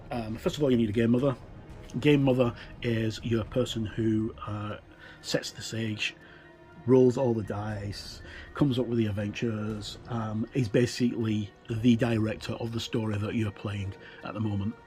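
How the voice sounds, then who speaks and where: A man's voice: on the low side, a little mellow. A middle-aged man giving a casual, unscripted walkthrough as a reviewer, explaining things informally with frequent hesitations. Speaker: tabletop game reviewer.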